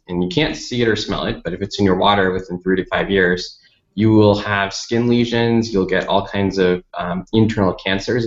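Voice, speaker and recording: An American man from Dallas, a company founder, giving articulate, methodical explanations as an interview guest. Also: on the low side. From Dallas, United States. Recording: roomy, slightly dull lo-fi audio.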